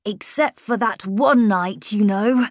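A woman's voice: mid-range voice, slow pace, no room sound, studio quality, lightly acted. A woman voicing a polite, charming young boy who knows he has the upper hand, in an audiobook reading. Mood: polite, insistent. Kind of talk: audiobook character voice.

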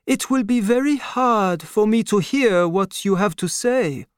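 Guy putting on an old soft-hearted doctor, voice pushed way up, emotional, anxious. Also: high-pitched, expressive, measured pace, clear, resonant tone, no room sound, studio quality, voice pushed up. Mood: sad, tender. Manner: pleading, emotional. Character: aging gentle male doctor, anxious and fatherly.

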